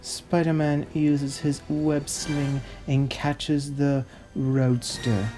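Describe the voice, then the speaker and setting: A man's voice: a little high, level tone, very slow, mellow, soft background music. A man playing a pompous, fussy narrator who reads out a script theatrically. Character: snobby, fussy male narrator, a pompous connoisseur. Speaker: narrator host.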